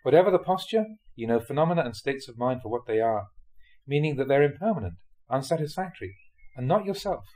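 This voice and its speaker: A man with a mid-pitched voice reads Buddhist teachings from a prepared text. His phrasing is measured and deliberate, laid out in short clauses. It has the sound of a monk narrating a spiritual audiobook. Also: animated, a little mellow. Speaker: Buddhist monk reading translated teachings aloud. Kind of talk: scripted audiobook-style reading of dharma talks.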